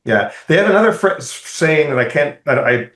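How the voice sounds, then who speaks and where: A man's voice: a little high, moderate pace. Rambling male podcast host, roomy sound. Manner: rambling, animated, profane storytelling. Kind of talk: casual chat, telling a travel story. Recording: roomy echo.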